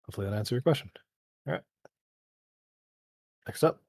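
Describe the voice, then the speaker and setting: A man's voice: mid-range voice, lively intonation, a little fast, creaky, a little mellow, no echo, clean studio sound. A man who is a game developer, answering community questions in a casual live Q&A, rambling technically with a voice tired after hours of talking. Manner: rambling, technical, thinking aloud.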